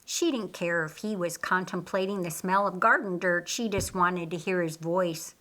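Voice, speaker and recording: A woman narrating a scripted story as an audiobook, reading deliberately and expressively. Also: lowish voice, bright, forceful tone.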